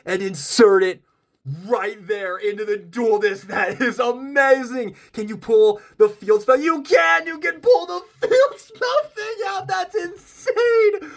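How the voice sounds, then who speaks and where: A man hosting a casual toy unboxing and review, gushing and excitable as he demonstrates things step by step in informal language. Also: sits high. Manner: gushing, excitable, exclaims often. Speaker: toy review video host.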